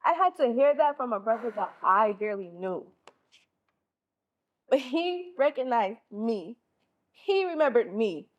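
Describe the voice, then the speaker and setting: A woman's voice: fairly high pitch, animated, unhurried, long pauses, lo-fi sound. A woman playing a hurt, defiant teenage schoolgirl in an emotional, accusatory stage monologue. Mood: hurt, sorrowful. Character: hurt, defiant 16-year-old schoolgirl confronting her mother. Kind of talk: stage play acting.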